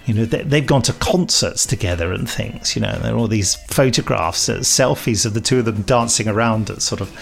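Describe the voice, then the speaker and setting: A man's voice: fairly low pitch, a little fast, vocal fry, few pauses, faint music behind. A middle-aged English man hosting a podcast in casual, jokey chat, with a playful, mock-dramatic manner. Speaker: podcast host.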